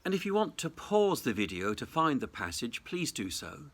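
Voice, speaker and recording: A clergyman delivers a short Easter reflection. His voice is bright and forward, of middling pitch for a man. He phrases deliberately and thoughtfully, as a preacher does. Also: big pitch swings. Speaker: Anglican cathedral dean.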